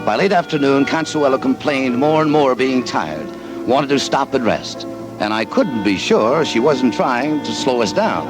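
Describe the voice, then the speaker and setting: An actor playing a hard-bitten, wary American adventurer in a 1940s radio drama, speaking in a tough, clipped, slangy way. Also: male voice, medium pitch, animated, unhurried, close to an everyday voice. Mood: brooding, tense narration. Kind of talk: 1940s radio drama acting with first-person narration. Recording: vintage 1940s radio recording with music.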